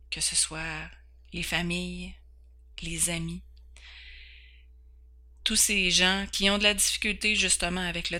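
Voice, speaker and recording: Woman, 40s, Québécois accent; podcast host, earnest and candid, slight stumbles, crisp bright tone. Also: lowish voice. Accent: Québécois French. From Quebec, Canada. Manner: earnest, candid, occasionally stumbling over words. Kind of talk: personal introduction.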